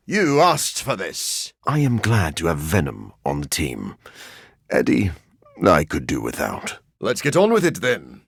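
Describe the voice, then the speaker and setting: Video game vampire gentleman: lowish, gravelly voice, measured and theatrical, dry wit over a brooding core. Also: no echo, exaggerated voice. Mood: menacing, intense, then wry, reflective. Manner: formal, measured, wry and theatrical delivery. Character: brooding, dryly witty, gentlemanly male scientist vampire. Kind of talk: scripted video game character lines.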